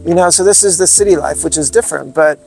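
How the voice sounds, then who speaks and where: A man from Montana with an American accent, talking in a notably high voice as an interview guest. He explains patiently and tells stories with earnest warmth of detail. Faint music sits underneath the conversation. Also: fast, creaky, low-quality recording. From Montana, USA. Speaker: interview guest, speaking club founder.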